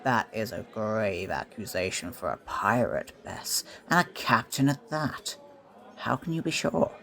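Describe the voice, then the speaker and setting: An inquisitive pirate tavern mate with a gravelly edge. He takes his time, questioning and easily indignant, in the old sea talk of an audio play. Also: on the low side, sing-song, unhurried, bright, forceful tone, heavily acted. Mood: intrigued. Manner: inquisitive, old seafaring phrasing.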